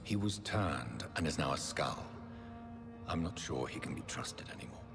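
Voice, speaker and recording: A young vampire doctor for a video game. His low voice moves expressively as he probes in formal phrases, ringing in a long hall-like reverb over music. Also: expressive, creaky, full character voice. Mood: wary. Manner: formal, measured, probing. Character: earnest young vampire doctor, newly turned. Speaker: lead character. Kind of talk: dramatic video game voice acting.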